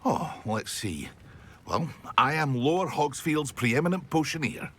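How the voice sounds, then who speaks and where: A man's voice: on the high side, expressive, measured pace, fairly bright tone, exaggerated voice. A man playing a proud, jovial village potion seller in a video game. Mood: jovial, boastful. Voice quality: husky. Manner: chuckling, boastful. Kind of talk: video game shopkeeper dialogue.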